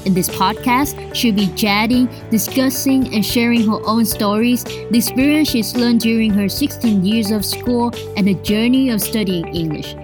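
A young Vietnamese woman in her twenties with a Vietnamese accent hosts a casual solo podcast from home. Her voice sits a little higher than most women's. She talks in an earnest, chatty way, with soft music faintly underneath. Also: moderate pace, hardly any pauses. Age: 20s. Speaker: podcast host.

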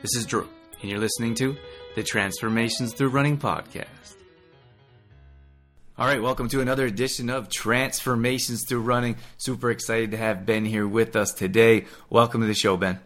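A man's voice: medium pitch, fairly even intonation, moderate pace, a bit bright, soft background music. A man hosting a podcast, upbeat and friendly as he interviews a guest. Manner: upbeat and friendly, laughs easily. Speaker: podcast host.

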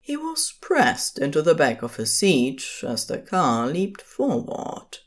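Low-voiced woman narrator, slow and expressive. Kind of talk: scripted fiction narration.

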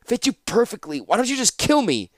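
A man's voice: medium pitch, wildly swooping pitch, moderate pace, dry recording, clean studio sound, voice pushed up. A man voicing a battered, defiant prisoner in a fantasy audiobook, bitterly sarcastic, gasping and growling his words. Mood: sarcastic, strained.

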